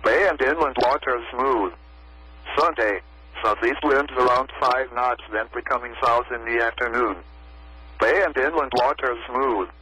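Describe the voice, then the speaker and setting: A man's voice: mid-range voice, unhurried, bright, forceful tone, a little hiss or hum, rough recording. A synthetic American male computer voice reading a scripted marine forecast in a stilted way on weather radio. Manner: stilted synthetic text-to-speech delivery. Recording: weather radio broadcast audio.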